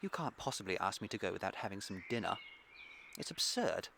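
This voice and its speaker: Radio comedy: flippant, unflappable English gentleman, lowish pitch, pompous delivery. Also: very animated, a little fast. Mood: smugly argumentative. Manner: pompous, blithely unruffled. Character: flippant, languid young English gentleman dandy. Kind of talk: comic period radio play acting. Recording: clear background ambient noise.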